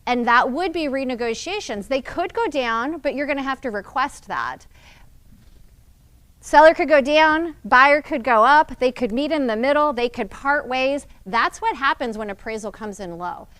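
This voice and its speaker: A woman with a high voice leads a meeting of real estate agents. She explains terms plainly and speaks directly to the group, checking that everyone follows. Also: lively intonation, moderate pace, fairly bright tone, smooth. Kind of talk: training talk to agents.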